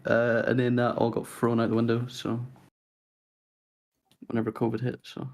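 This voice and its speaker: A young man with a soft Northern Irish accent, chatting casually as a podcast guest with a relaxed, rambling way of talking. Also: mid-range voice, normal speed. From Northern Ireland. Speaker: guest YouTuber.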